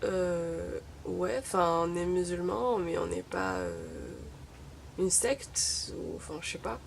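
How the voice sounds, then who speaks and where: A woman's voice: lowish voice, very animated, takes it slowly, gravelly, a little hiss or hum. A young woman from Morocco, Arabic-speaking by birth, chatting wryly to camera with many hesitations. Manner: wry, chatty, full of hesitations. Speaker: vlogger. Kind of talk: casual chat to camera.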